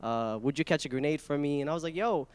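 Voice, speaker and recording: A young male comedian with a slightly high, smooth voice tells stories in an informal, animated way. He speaks into a handheld mic, and a long hall echo follows his words. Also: fast talker. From Iraq. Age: young adult. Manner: informal, slangy, animated storytelling. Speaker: guest, stand-up comedian. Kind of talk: casual spoken anecdotes before an audience. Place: indoor event hall stage, small live audience. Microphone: handheld stage microphone. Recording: echoey hall through handheld microphone.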